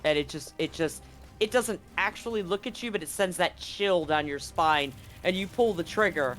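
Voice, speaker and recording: A game master running a live tabletop roleplaying game, narrating and bantering excitably and repeating phrases for emphasis. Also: medium pitch, moderate pace. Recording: music behind the voice. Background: background music.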